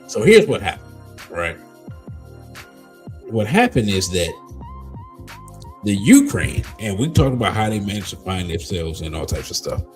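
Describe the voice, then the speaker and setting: A man hosting a live commentary show, talking slowly with many pauses and ums, and going hoarse. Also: lowish voice, sing-song, soft background music. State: losing his voice. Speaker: livestream host. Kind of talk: casual commentary reading news aloud.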